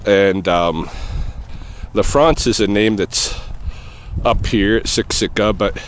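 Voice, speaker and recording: Low-voiced man rambling on a walk. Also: a little hiss or hum. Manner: rambling, thinking aloud with many pauses and soft chuckles. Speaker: vlogger answering a viewer question. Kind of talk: casual walking vlog. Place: outdoors on an evening walk near traffic.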